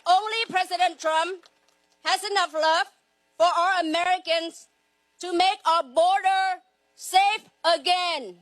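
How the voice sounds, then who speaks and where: High-voiced woman declaiming slowly. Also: a bit bright, no echo. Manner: slow, repetitive, declaiming. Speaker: rally speaker. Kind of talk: chanted speech.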